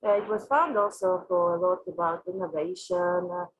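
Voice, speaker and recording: A woman from Russia with a Russian accent, answering interview questions as a company executive, haltingly and with frequent filled pauses. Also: on the low side, slight background noise, poor audio. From Moscow, Russia. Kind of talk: interview answers on an online panel.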